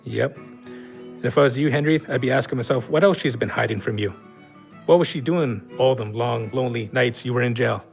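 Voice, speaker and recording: A man's voice: medium pitch, fairly quick, creaky, soft background music, lightly acted. An actor in an audio drama playing a bossy, goading, foul-mouthed man who needles his friends with sarcasm. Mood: sly coaxing. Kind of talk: gritty contemporary audio drama dialogue.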